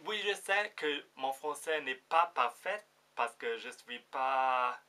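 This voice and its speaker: German man speaking slow hesitant French. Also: medium pitch, animated, bright, forceful tone. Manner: hesitant, searching for words, many fillers. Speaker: vlogger. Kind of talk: casual direct-to-camera vlog.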